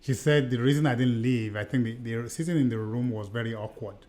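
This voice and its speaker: A middle-aged man with a Nigerian accent, co-hosting a conversational podcast. He speaks at an ordinary pitch and tells his story earnestly, circling back and repeating phrases as he goes. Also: a little fast, a little mellow. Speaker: podcast co-host.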